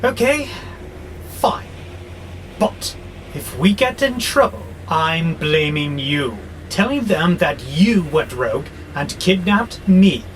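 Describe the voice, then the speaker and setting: An actor playing a belligerent, sardonic warehouse robot who grumbles with exasperation in an audio drama. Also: male voice, a little high, sing-song, takes it slowly, slight background noise, low-quality recording. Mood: grudging. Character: grumpy, belligerent male warehouse robot, war-hungry, wary.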